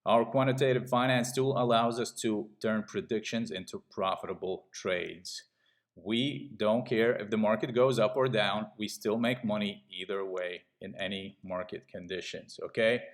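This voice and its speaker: A middle-aged man from Latvia giving a casual sales pitch while walking through trading charts. He talks in a pushy way and keeps checking in with "okay?". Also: normal speed. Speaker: trading channel host.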